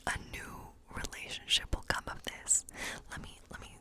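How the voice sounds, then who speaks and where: A woman whispering softly and breathily in an ASMR role play as a friendly witch, reading tarot in an informal, encouraging, rambling way. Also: normal speed.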